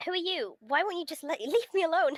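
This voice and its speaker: A woman's voice: extremely high pitch, expressive, quick pace, dry recording, pitched above the performer's own voice. A woman reading the part of a beleaguered, sarcastic teenage schoolboy in a visual novel, in a grumbling manner. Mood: exasperated. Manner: grumbling, sardonic. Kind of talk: visual novel read-aloud.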